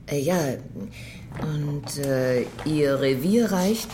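An actress playing a polite, hesitant official who questions a detective in an audio drama, formal and halting. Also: female voice, low voice, animated, mellow, exaggerated voice. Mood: polite, flustered. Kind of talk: audio drama acting, interrogation scene. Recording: clear background noise under the voice.

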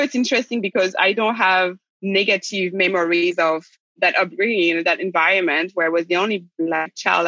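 A woman, a podcast guest thoughtfully telling her life story, pausing and restarting her sentences. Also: lowish voice, a bit bright, dry recording, lo-fi sound. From Republic of the Congo, raised in France. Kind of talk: reflective personal storytelling.

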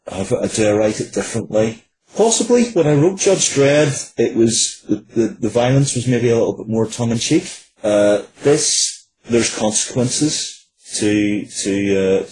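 Deep-voiced Northern Irish man, reflective. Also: a little mellow, slight background noise, poor audio. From Northern Ireland. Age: 40s. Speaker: interview guest, writer. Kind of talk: conversational interview answers.

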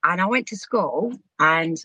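Brief: woman, 50s, podcast guest, laughs through her stories, bright tone. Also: fairly low pitch, expressive, measured pace, slightly rough, no echo. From Southampton, England. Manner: chatty, frank, laughs while telling stories. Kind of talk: casual storytelling chat.

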